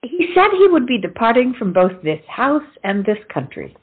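A blunt, loyal young lady of the Revolutionary War played by a woman, scolding and theatrical. Her voice is husky and very animated, in a lo-fi, narrow-sounding recording of a stage comedy. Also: fairly high pitch, moderate pace. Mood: firm, persuading.

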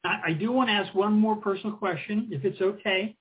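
Husky-voiced middle-aged man hosting. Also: high-pitched, brisk. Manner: friendly, hesitant with many restarts and ums. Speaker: co-host. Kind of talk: casual interview conversation. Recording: tinny phone-line audio.

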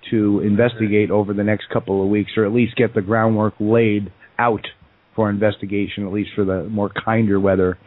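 A male radio host speaking haltingly, with many pauses and restarts, in a light, teasing way. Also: lowish voice, flat delivery, no echo. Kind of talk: casual radio talk. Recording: dry, tinny phone line.

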